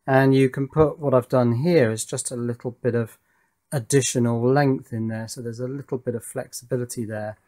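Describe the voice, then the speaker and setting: A man presents casually from his workbench. His voice sits at a middling pitch. He talks through his work methodically, thinking aloud as he explains each step. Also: steady tone, normal speed, darkish tone. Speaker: electronics hobbyist presenter. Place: indoors at an electronics workbench.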